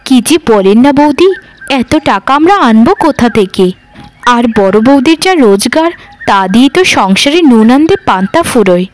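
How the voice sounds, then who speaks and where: A woman's voice: a little high, lively intonation, moderate pace, close to an everyday voice. A woman voicing a poor, humble village woman in a cartoon, long-suffering and deferential, speaking in a pleading, lamenting way. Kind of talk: cartoon voice acting.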